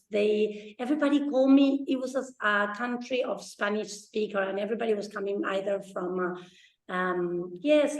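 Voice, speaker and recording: A woman's voice: mid-range voice, echoey room, rough recording. A woman from Argentina co-hosting a podcast, chatting casually and hesitantly with many fillers and restarts. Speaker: podcast co-host. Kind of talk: casual chat.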